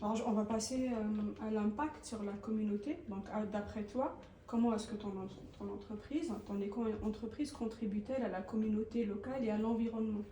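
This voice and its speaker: Mellow-voiced woman asking questions. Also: medium pitch, fairly even intonation, fairly quick. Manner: brief, informal questions with short acknowledgements. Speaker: interviewer. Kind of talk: interview questions. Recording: echoey room, distant mic, faint noise.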